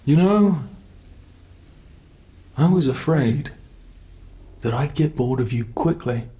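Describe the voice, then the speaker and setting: Casting: man to play a sadistic monster under the bed for horror ASMR roleplay; mid-pitched, slow, savoring delivery, gloating and menacing, gravelly. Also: lively intonation, mellow. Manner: slow, taunting, savoring every word.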